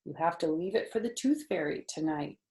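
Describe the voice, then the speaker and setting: A woman's voice: low-pitched, lively intonation, brisk, dark tone, reverberant. A woman voicing a stern, sensible mother who talks firmly and disapprovingly. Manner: firm, disapproving.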